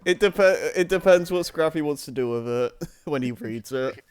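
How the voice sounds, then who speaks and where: A man's voice: a little high, animated, moderate pace. A man chatting casually as a show co-host, often stammering and repeating words as he goes. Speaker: co-host of a fan fiction reading show. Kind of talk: casual group chat. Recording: music playing behind the voice.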